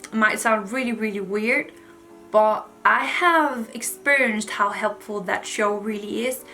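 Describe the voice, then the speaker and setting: A woman's voice: medium pitch, measured pace, sharp tone, faint music behind. A woman, giving frank, chatty advice to camera with lots of "like" fillers. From Denmark. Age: 20s. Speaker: vlogger. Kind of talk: casual advice talk to camera.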